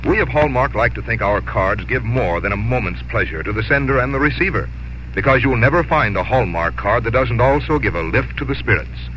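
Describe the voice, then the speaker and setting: Bright, forceful male radio announcer. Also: mid-range voice, lively intonation, fairly quick. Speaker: announcer and sponsor spokesman. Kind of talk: scripted 1950s radio sponsor message. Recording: faintly noisy 1950s radio recording.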